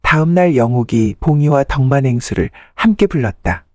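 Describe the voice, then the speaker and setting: Male narrator, scripted folktale storytelling, mid-range pitch, close dry recording. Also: expressive, moderate pace, dry recording.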